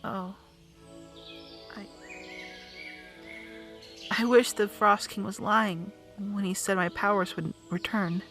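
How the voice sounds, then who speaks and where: A woman's voice: medium pitch, steady tone, takes it slowly, long pauses, fairly bright tone, soft background music. A woman playing an earnest, determined young princess heroine, heartfelt, in a fantasy audio drama. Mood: regretful.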